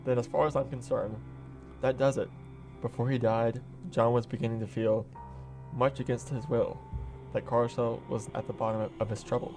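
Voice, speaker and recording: A man playing a bereaved brother in a radio drama, serious and measured with slight hesitations. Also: medium pitch, level tone, darkish tone, natural voice. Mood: grave. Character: grave bereaved brother recounting suspicions. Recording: faint music under the voice.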